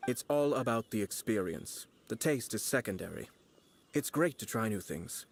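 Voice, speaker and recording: An actor playing a calm, refined young nobleman in a video game, speaking in a measured, formal way. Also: fairly low pitch, creaky, a little hiss or hum. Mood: composed.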